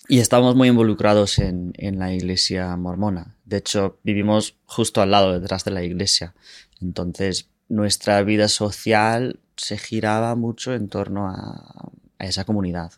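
A man's voice: lowish voice, fairly even intonation, a little slow, no echo, clean studio sound. A man in his twenties from Ohio, a native English speaker, casually telling his personal story as an interview guest. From Ohio, United States. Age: 20s.

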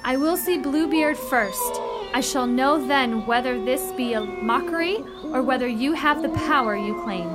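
A melodramatic young widow played by a woman speaks earnestly in a radio play. Her voice is high and unhurried, with music clearly behind it. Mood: resolute.

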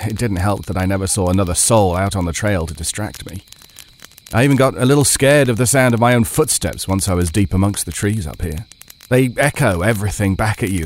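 A man's voice: lowish voice, natural voice. A man playing a frightened, weary camper telling his horror story in a hesitant, brooding, confessional way. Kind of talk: first-person dramatic audio horror storytelling.

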